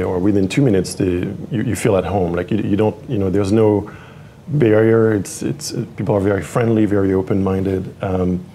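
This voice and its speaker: French-accented male guest, mellow dark voice, faint room hiss. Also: fairly low pitch. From France (Paris). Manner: hesitant, many fillers and restarts. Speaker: tech executive guest. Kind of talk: interview conversation.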